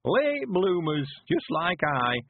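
A high, husky old countryman with a broad West Country accent. He is sprightly and cheerful in his nineties, drawing out folksy dialect with great emphasis. It is an audiobook character heard through a narrow, radio-like band. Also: very animated, takes it slowly, heavily acted. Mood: eager. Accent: rural English West Country. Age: 90s. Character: sprightly, cheerful 91-year-old rustic man.

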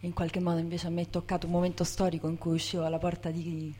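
Lowish-voiced woman, reflective and searching. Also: monotone, rapid-fire, dark tone. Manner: hesitant, reflective, repeating words as she searches. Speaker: bookseller, co-founder of a women's bookshop. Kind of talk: informal talk to an audience.

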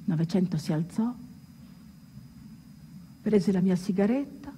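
Casting: woman as a ship's musician telling a legend onstage, mid-pitched, emphatic, suspenseful pauses, reverberant noisy hall. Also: expressive, warm tone, lightly acted. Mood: hushed suspense. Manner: emphatic, with long suspenseful pauses and sudden outbursts. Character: male ship's musician fondly retelling his pianist friend's legend. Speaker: stage actor. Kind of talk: theatrical monologue, dramatic storytelling. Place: theatre hall, live stage performance. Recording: reverberant hall recording with clear background noise.

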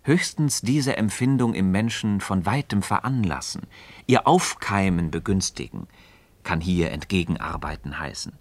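An actor playing a learned, earnest and gently ironic Freemason friend who teaches in a measured, rhetorical way in a radio drama. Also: male voice, low voice, animated, no echo. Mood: earnest, explaining. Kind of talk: scripted philosophical dialogue in German radio drama.

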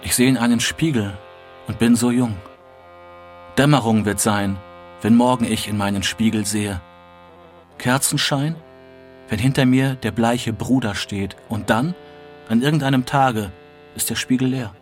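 A man's voice: medium pitch, a little slow, pauses often, soft background music, lightly acted. A man playing an earnest, melancholic young writer, reciting literary texts in a radio play. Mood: melancholy.

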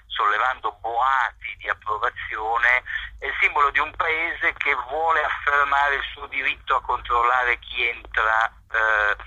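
A man, a journalist, answering interview questions in a measured way, pausing often with fillers. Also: medium pitch, bright, forceful tone, no room sound, low-quality recording. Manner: measured, hesitant with frequent fillers.

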